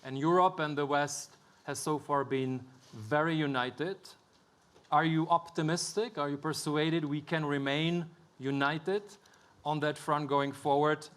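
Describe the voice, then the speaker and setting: A man moderating a formal panel, introducing guests and putting questions to them in a measured way. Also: fairly high pitch, fairly even intonation, a bit bright. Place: conference stage with an audience.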